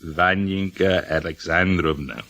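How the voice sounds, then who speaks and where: An actor playing a swaggering, imperious Russian blackmailer in a theatrical old-time radio drama. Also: male voice, low voice, a little slow, sharp tone, heavily acted. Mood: sly, boastful. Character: boastful, swaggering male Russian blackmailer at an inn.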